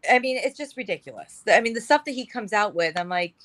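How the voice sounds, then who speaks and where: Bright, candid middle-aged woman. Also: mid-range voice, lively intonation, fast talker, no room sound. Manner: candid, emphatic, talks in long run-on stretches. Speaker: guest. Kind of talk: casual conversation on a talk show.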